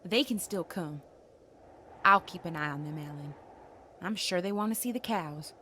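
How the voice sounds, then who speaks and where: A woman's voice: lowish voice, normal speed, sharp tone, a little hiss or hum. A woman voicing a firm, caring, protective survivor in a motion comic, direct and insistent. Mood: reassuring. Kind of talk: comic book voice acting.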